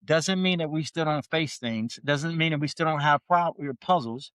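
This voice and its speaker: Co-host: a man in his forties, slightly high voice, emphatic, repeats himself, dry close mic. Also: moderate pace, a bit bright, smooth, no room sound. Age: 40s. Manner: emphatic, repetitive, stammers on restarts. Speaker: podcast co-host. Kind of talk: casual motivational chat.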